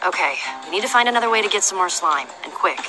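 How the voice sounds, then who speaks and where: An actress playing a bold, decisive and wry young adventurer in a game. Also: female voice, mid-range voice, lively intonation, fast, lightly acted, rough recording. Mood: urgent. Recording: game music behind the voice.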